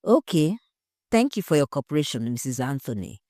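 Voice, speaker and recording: A woman's voice: deep voice, very animated, fairly quick, mellow, no room sound, studio quality. A woman voicing a composed, direct female investigator in an audio story. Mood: probing. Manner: direct, questioning.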